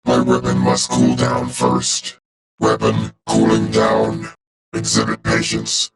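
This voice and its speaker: A man voicing a cold, loyal robot warrior for a video game, speaking in a clipped, formal, deadpan way through a robotic filter. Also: extremely low pitch, takes it slowly, creaky, full character voice, poor audio. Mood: clinical, detached. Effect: robotic vocoder filter.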